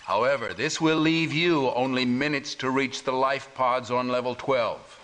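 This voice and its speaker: A man's voice: mid-range voice, unhurried, a bit bright, lightly acted. A man playing a grave resistance commander in a video game, giving formal, clipped military briefings. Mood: serious, resolute. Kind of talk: video game cutscene acting. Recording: old game audio, faint noise.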